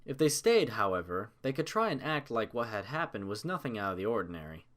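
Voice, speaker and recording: A man reading scripted story narration in a measured, dramatic manner. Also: medium pitch, big pitch swings, fairly bright tone.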